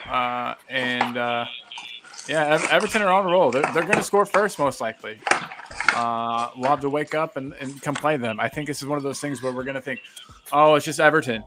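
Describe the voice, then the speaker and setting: A man taking part in a casual podcast over a video call. He thinks aloud haltingly, with plenty of fillers and restarts, at an ordinary pitch. A light background hiss sits under his voice. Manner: hesitant, many fillers.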